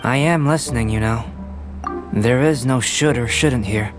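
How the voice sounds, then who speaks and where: A man voicing a cool, aloof young alchemist in a video game, speaking in a measured, formal way. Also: mid-range voice, over music, close to an everyday voice. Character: calm, aloof young male alchemist scholar.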